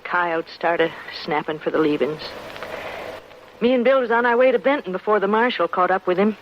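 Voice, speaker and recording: An actress playing a worried, plainspoken frontier wife in an old-time radio western, earnest and hesitant with folksy rustic phrasing. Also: female voice, mid-range voice, brisk, lightly acted. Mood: weary, bitter. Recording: muffled, noisy vintage radio broadcast.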